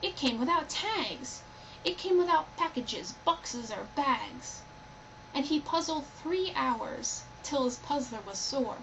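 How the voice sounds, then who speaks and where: A woman reading a rhyming storybook aloud with expressive narration. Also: high voice, slow. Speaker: storybook reader. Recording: dull, lo-fi, loud background noise.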